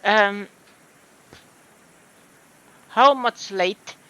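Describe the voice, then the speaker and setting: A man with a Finnish accent speaks at an unhurried pace while commenting on a game. His voice is high and bright. He thinks aloud, hesitating and trailing off. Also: smooth, no echo, low-quality recording. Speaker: streamer. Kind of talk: casual gameplay commentary.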